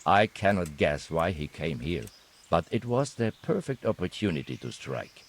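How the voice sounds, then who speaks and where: An actor playing a stern knight who calmly reports on forged coins in a video game cutscene, speaking bluntly and without fuss. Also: male voice, deep voice, normal speed, slight background noise. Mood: terse report. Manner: blunt, matter-of-fact reporting. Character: grim male knight investigating counterfeiters.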